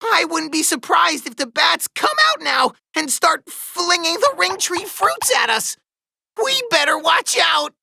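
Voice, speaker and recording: A woman voicing a small, excitable, carefree woodpecker with eager, exclamatory delivery in a children's cartoon. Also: extremely high pitch, unhurried, gravelly, dry recording, heavily acted. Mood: nervous. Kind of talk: animated children's cartoon voice acting.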